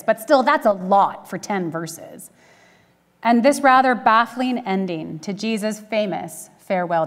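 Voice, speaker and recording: A middle-aged woman gives a sermon in a conversational way. Her humour is dry and she places her pauses deliberately. The voice sits at an average pitch, with long church reverb around it. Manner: wry, dryly humorous, with deliberate pauses. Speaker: minister preaching. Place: church sanctuary at a pulpit.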